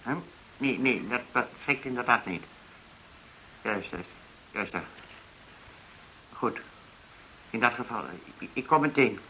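A man's voice: medium pitch, slow pace, pauses often, bright, forceful tone, poor audio. An actor in a radio play playing a polite, cautious male gold dealer who speaks in clipped, hesitant phrases. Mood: polite, flustered. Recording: hissy old radio recording.

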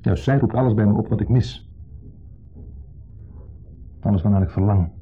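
Wistful divorced man, low husky voice, halting and sentimental, 1970s radio drama on a muffled recording. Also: soft background music. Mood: anguished longing. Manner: halting, reflective, speaks in short fragments.

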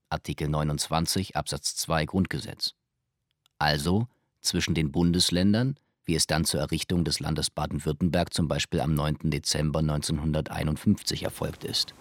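A man's voice: deep voice, dry recording, studio quality. A man giving factual scripted narration in a sober, matter-of-fact manner. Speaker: documentary announcer.

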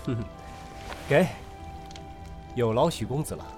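A man's voice: medium pitch, lively intonation, unhurried, close to an everyday voice. A man playing a roguish, teasing night traveller in a Chinese period audio drama, witty and nonchalant. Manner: teasing, playful, theatrical. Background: music.

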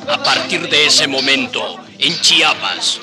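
Narrator, male: scripted radio serial storytelling, mid-pitched voice, clear music bed underneath. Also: lively intonation, slow.

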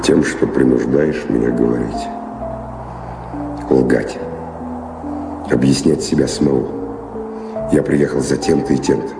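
An actor in an audio drama playing an evasive, weary, dreamy middle-aged wanderer who speaks in a measured, reflective way with pauses. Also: male voice, rumbling low, steady tone, creaky, exaggerated voice. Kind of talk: theatrical audio drama dialogue. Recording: reverberant stage-like sound, loud music behind.

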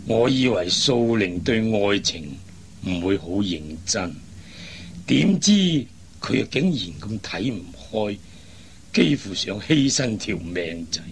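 An actor playing a worried middle-aged father in a Cantonese radio drama, sighing and speaking with drawn-out emotion. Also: on the low side, lively intonation, creaky, a little hiss or hum, full character voice. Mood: exasperated. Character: worried, exasperated father of grown daughters.

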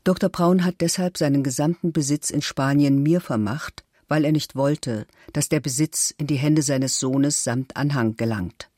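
A woman's voice: low voice, mellow, no room sound, studio quality. A woman playing a middle-aged murder suspect in a radio documentary, reading statements in a clipped, matter-of-fact way. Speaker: actor reading case records. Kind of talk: scripted documentary radio reading of police records.

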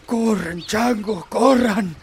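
A man's voice: sits high, slow, a little hiss or hum. A man with a northern Argentine accent playing an agitated, kindly village elder in a radio play. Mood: urgent.

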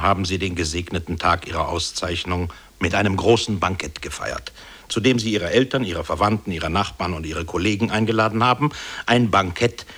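An actor playing a dry narrator who recounts an employee's petition in measured, matter-of-fact radio play style. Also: male voice, deep voice, a bit bright. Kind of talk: scripted radio play narration addressed to "you".

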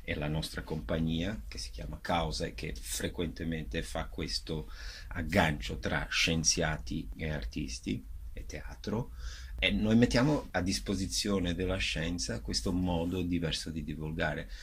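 A man speaking as a theatre director in a radio interview, explaining in a halting way as he searches for words. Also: low voice, fairly even intonation, gravelly, husky.

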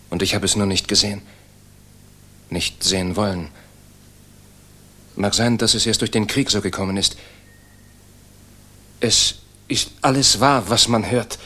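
An actor playing an idealistic, disillusioned young German officer in a 1960s radio play, earnest, halting and intense. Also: male voice, mid-range voice, a bit bright, slightly rough.

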